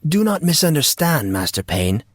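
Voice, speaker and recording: A man voicing a genteel, wry butler who speaks formally and with deadpan calm. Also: a little high, moderate pace, no room sound, studio quality, pitched above the performer's own voice. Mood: amused, polite. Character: genteel male butler, polite, wry and unflappable.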